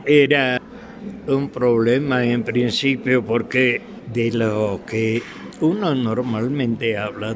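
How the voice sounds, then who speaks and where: Older ecuadorian guy being interviewed, slow and deliberate, gravelly, stops and repeats words, people chattering behind. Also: mid-range voice. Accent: Ecuadorian Spanish. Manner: halting, deliberate, with pauses and repetitions. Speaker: interviewee, veteran radio drama actor. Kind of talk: reminiscing in an interview.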